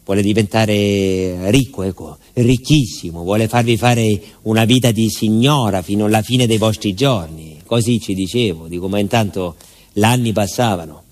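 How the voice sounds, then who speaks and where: A man's voice: lowish voice, normal speed, darkish tone, lightly acted. An actor playing an aging southern Italian village man, a grieving former miner, telling his story in a colloquial theatrical monologue to an unseen listener. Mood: wistful, confiding. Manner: colloquial, rustic, addressing an unseen listener with rhetorical questions. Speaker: stage actor.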